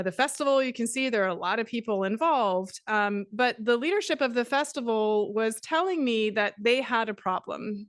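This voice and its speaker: A middle-aged woman from Canada giving a conference talk, measured and steady, with frequent fillers. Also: a little high, clean tone, no echo, studio quality. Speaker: conference speaker. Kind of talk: academic presentation.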